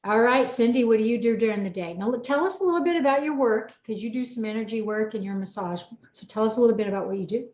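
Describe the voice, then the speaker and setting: Female host for a casual panel chat, high voice, excitable, echoing room. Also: fast talker, few pauses. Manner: excitable, repeats phrases. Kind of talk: casual group video chat. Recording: roomy, echoing sound.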